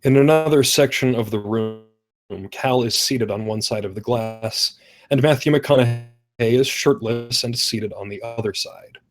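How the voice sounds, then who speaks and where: A man narrates a script, reading out scene directions. His voice has an average male pitch. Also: a little slow.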